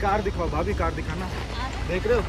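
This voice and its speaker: A man's voice: high voice, a little fast, heavy background noise. A young man hosting a casual travel vlog outdoors, chatting to viewers as guys. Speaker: motovlogger host. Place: outdoors on a hill road by a car. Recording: music over outdoor vlog audio.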